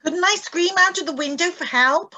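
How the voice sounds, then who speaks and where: An actress playing a frightened, fretful wife in an old-style radio thriller, anxious and pleading. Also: female voice, very high voice, moderate pace, sharp tone. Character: frightened, anxious young wife under siege. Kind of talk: 1940s-style radio drama acting. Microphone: video call. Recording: noisy, clicky home video-call audio.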